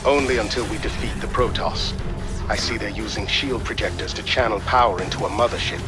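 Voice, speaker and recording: An analytical military support officer voiced by a male actor in a game briefing. He has a lowish voice and measured, formal delivery over loud music. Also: close to an everyday voice. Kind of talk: video game mission briefing.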